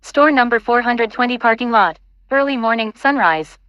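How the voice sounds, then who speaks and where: A woman's voice: a little high, moderate pace, no room sound, lo-fi sound. A woman reading scripted stage directions as the narrator of an audio play.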